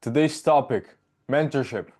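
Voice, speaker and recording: A young man hosting a casual podcast over a video call from his bedroom, talking in a loose, rambling way with quick jokes. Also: medium pitch, darkish tone. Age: young adult. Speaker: podcast host. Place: bedroom at home. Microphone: video call webcam.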